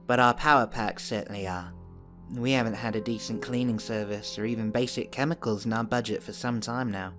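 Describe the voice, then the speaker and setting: A man's voice: on the low side, fairly even intonation, fairly quick, close to an everyday voice. A man playing a welcoming, wry monk master of a run-down space temple in an amateur audio play. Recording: music under the voice.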